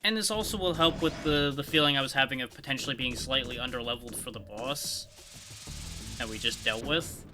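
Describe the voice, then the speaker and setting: A man's voice: medium pitch, a little fast. A man giving casual live gameplay commentary as a streamer, thinking aloud and explaining things conversationally. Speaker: video game livestreamer. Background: video game music.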